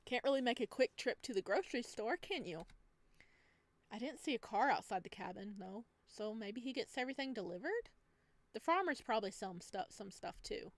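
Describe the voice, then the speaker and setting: A woman's voice: on the high side, animated, fairly quick, dry recording. A woman voicing an enthusiastic, chatty traveler staying as a houseguest in a visual novel, earnest and bubbly. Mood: musing. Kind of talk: visual novel protagonist reading.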